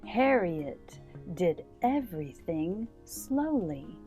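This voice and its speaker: A woman hosting a children's story time, reading a storybook aloud with gentle, deliberate phrasing. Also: on the low side, sing-song, faint music behind. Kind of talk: read-aloud storybook narration.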